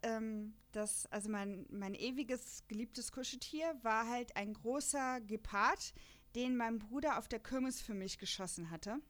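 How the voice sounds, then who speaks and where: A woman with a somewhat high voice, co-hosting a relaxed podcast. She talks in a chatty, rambling way and laughs easily between thoughts. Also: a little slow. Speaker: podcast co-host. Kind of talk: casual chat.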